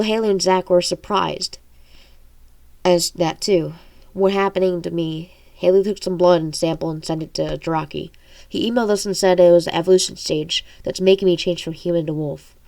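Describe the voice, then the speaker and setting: A woman's voice: on the low side, vocal fry, mellow. A woman with a congested, allergy-stuffed nose reads her own fantasy story aloud as an amateur audiobook narrator, informal and stumbling over words now and then. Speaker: amateur audiobook reader and author.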